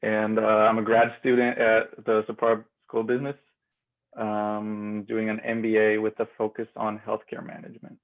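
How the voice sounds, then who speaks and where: A young man from Austria answers interview questions, stopping and restarting often with plenty of fillers. His voice is gravelly and stays fairly level in pitch. The sound is narrow and tinny, like a phone line. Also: monotone, moderate pace. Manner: hesitant, many fillers and restarts. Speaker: guest, MBA grad student. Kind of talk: casual interview.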